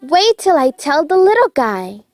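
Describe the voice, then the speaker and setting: A woman's voice: sits high, very animated, unhurried, a little mellow, dry recording, clean studio sound, pitched above the performer's own voice, exaggerated voice. A woman voicing a small, plucky male mouse who is protective, cheerful and confident in a children's storybook. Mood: amused. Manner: confident, encouraging.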